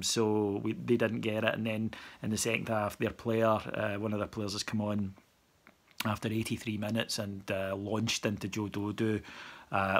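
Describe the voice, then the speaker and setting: A man's voice: fairly low pitch, creaky, fairly bright tone. A man, giving a dry, wry post-match reaction as podcast host, recorded on a phone at arm's length in a small room. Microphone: phone camera at arm's length.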